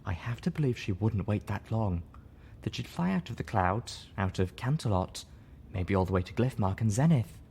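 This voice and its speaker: A man voicing a female pony, sorrowful and caring, softly spoken, in a story reading. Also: on the low side, animated, normal speed, creaky, slight background noise. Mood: sorrowful, clinging hope. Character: refined female pony, sorrowful, caring, sharp when hurt.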